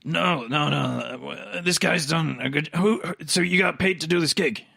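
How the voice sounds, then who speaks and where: A man playing a pushy media man in a tabletop roleplay, blunt and insistent, talking in halting bursts. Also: a little high, creaky, pushed and bright, dry recording, studio quality, heavily acted. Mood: bargaining. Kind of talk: improvised tabletop roleplay dialogue.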